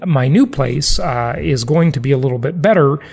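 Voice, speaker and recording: A man's voice: mid-range voice, moderate pace, creaky, darkish tone, husky. An American man hosting a podcast, chatting informally with casual asides. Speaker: podcast host. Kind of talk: casual solo podcast talk.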